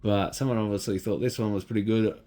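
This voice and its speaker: A man's voice: on the low side, steady tone, fast talker, dark tone. A man with an Australian accent giving casual, unscripted hands-on commentary as a repairer, musing in an understated way. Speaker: electronics repairer presenting his channel.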